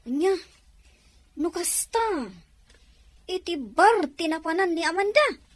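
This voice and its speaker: A woman's voice: extremely high pitch, animated, slow pace, no echo, exaggerated voice. A woman playing a curious, puzzled friend reacting to gossip in a conversational radio drama.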